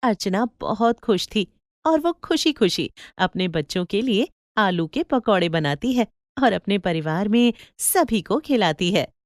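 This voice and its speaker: A woman's voice: medium pitch, expressive, measured pace, no echo, studio quality. Woman storyteller, closely recorded. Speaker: narrator. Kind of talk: scripted storybook narration.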